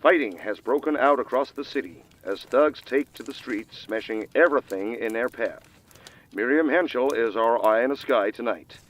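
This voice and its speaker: A man's voice: medium pitch, moderate pace, natural voice. An actor playing a serious male news anchor in an audio drama, with scripted breaking-news delivery. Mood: serious, urgent.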